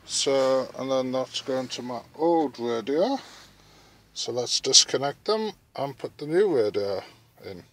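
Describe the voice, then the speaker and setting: A man giving casual, halting hands-on commentary as he thinks aloud through a DIY job, recorded on a handheld phone. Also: mid-range voice, expressive. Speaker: vlogger narrating a DIY car radio install.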